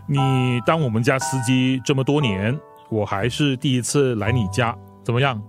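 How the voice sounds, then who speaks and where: A man playing a measured, authoritative older patriarch questioning people in a radio drama. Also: mid-range voice, lightly acted. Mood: composed, probing. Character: stern, probing elderly wealthy patriarch and employer. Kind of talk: Mandarin radio drama acting. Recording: plucked guitar music behind.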